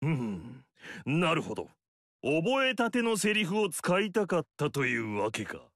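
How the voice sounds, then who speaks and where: A pompous noble lord, gravelly and bright. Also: male voice, fairly high pitch, big pitch swings, a little slow, dry recording, studio quality, full character voice. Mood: haughty. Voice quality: haughty, lecturing. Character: pompous middle-aged male noble lord, proud of lineage. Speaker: game character. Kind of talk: game story dialogue.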